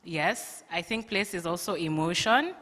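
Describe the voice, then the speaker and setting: A woman's voice: lowish voice, a little slow, fairly bright tone. A woman from Kenya, a student, giving an upbeat conference presentation. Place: conference hall. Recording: long hall reverb.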